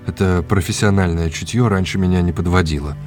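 Casting: man to play self-assured art scholar, low voice, formal and instructive; radio play with clear music underneath. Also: natural voice. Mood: confident, exacting. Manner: brisk, didactic, courteously formal. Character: confident, exacting male art expert and lecturer. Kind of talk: audio drama dialogue.

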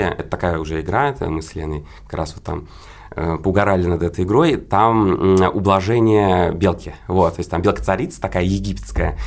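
A man's voice: low voice, vocal fry. A man chatting casually as an interview guest about his work, informal and full of hesitant fillers. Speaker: interview guest, game developer.

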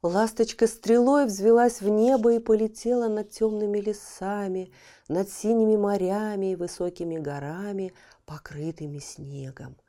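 A woman narrating a children's fairy tale audiobook, telling it expressively and dropping to a hushed voice at times. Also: medium pitch, steady tone, normal speed.

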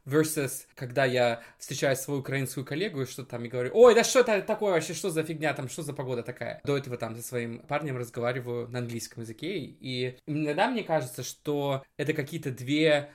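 A man's voice: medium pitch, a little fast, few pauses. A man speaking as a podcast guest, thinking aloud in a reflective, conversational way.

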